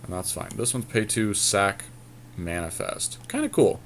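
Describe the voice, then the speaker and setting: Low-pitched man casually talking through card game. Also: very animated, unhurried, slight background noise. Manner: profane, joking; deliberating aloud, thinking through plays. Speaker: podcast co-host playing a card game draft. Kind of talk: casual game commentary between friends.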